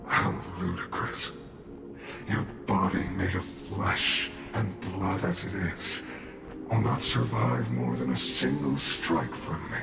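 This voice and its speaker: Very deep, gravelly, slow villain. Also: male voice, heavily acted. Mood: cold disdain. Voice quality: gravelly, breathy sighs. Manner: slow, disdainful, archaic formal speech. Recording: echoing voice over loud game music.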